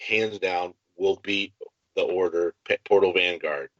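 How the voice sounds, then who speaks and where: A lowish-voiced man talks game strategy as a podcast co-host, restating his points with emphasis. The sound is very dry and close, a little muffled and lo-fi. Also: fairly even intonation, a little slow, a bit bright, no echo. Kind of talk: casual tabletop game strategy talk.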